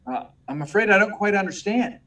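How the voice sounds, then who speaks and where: A very high male voice from an actor as a meek young reporter in an old radio serial. He is earnest, polite and prone to stammer, heard a little away from the mic. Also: expressive, fairly quick, pushed and bright. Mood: bashful, puzzled. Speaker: actor in a live radio play. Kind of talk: 1940s radio serial acting. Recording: distant, far from the mic.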